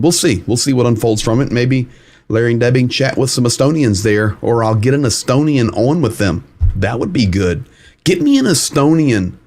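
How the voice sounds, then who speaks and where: A man's voice: on the low side, a little mellow. A man hosting a live news-commentary stream, talking casually and rambling while walking viewers through a map. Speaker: host.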